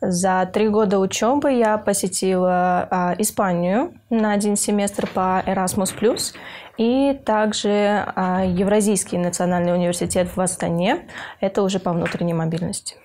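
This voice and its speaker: A young woman, a university student from Kyrgyzstan, speaking earnestly in an interview, pausing with hesitant filler sounds and restarting her phrases. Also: medium pitch, steady tone, darkish tone. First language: Russian. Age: young adult. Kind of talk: interview for a university news feature.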